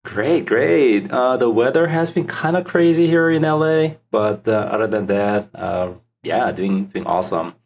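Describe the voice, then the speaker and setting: A man's voice: mid-range voice, lively intonation, a little slow, gravelly, hardly any pauses, a little mellow, slight background noise. A middle-aged man from South Korea with a Korean accent, giving casual answers as a podcast guest. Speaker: podcast guest, startup founder.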